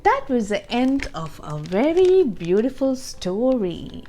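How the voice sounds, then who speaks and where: A woman reading a children's storybook aloud as host, expressively and deliberately, adding explanations and questions for young listeners. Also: on the high side, very animated, dark tone, a little hiss or hum.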